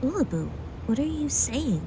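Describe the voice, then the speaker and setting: A woman's voice: high voice, very animated, moderate pace. A woman playing a moody young heroine, hissy mic. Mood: tender. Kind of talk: amateur audio drama acting.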